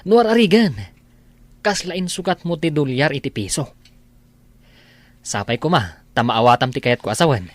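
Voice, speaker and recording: A man's voice: on the high side, lively intonation, normal speed, long pauses, no echo, natural voice. A Filipino man with an Ilocano accent playing a caring, frank friend in an audio drama, speaking colloquially and expressively. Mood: chiding, concerned. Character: caring, frank male friend, secretly tender.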